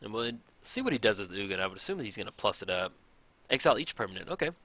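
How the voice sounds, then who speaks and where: A man casually commenting on a card game match, thinking aloud, his voice a little raspy from hours of recording. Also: fairly low pitch, sing-song, very fast, no room sound. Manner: chatty, thinks aloud, counts out loud. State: slightly raspy from long recording. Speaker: gameplay commentator.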